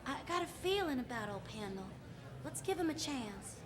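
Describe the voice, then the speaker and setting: A woman's voice: high voice, big pitch swings, normal speed, a crowd in the background. An actress playing a kindly, encouraging frontier woman in a western radio drama. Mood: concerned.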